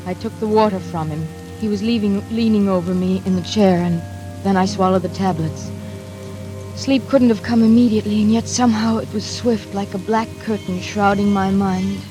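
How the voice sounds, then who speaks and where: An actress playing an anxious, trapped daughter in a 1940s suspense radio drama, tense and dramatic, halting when flustered. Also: female voice, mid-range voice, fairly even intonation, natural voice. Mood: weary, haunted. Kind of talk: 1940s radio acting, first-person suspense narration. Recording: vintage radio drama with music behind.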